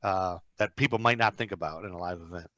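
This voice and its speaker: A man's voice: low voice, fast, pushed and bright, dry recording. A man moderating a casual panel as host, chatty, amused and encouraging toward the guests.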